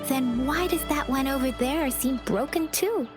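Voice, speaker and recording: A woman's voice: high-pitched, measured pace. An actress voicing a stern, suspicious woman soldier in a game, clipped and cool. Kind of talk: video game voice acting. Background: music.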